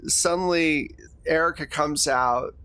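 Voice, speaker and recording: A man's voice: a little high, measured pace, pushed and bright, clear, resonant tone, no room sound. A man narrating a tabletop roleplaying game and hosting casually as game master. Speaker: game master and podcast host.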